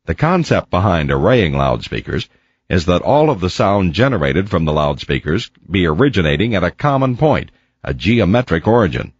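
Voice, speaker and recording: A man reading a scripted educational narration in a measured, precise, didactic way. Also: low-pitched, sing-song, breathy edge, dry recording, lo-fi sound. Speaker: instructional video narrator. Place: close, dry voice-over recording.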